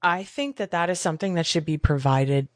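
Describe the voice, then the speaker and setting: A young adult woman with a deep voice, a podcast guest talking candidly and chatting easily. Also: fairly quick, dry recording, studio quality. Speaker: podcast guest, boudoir photographer. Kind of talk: casual chat.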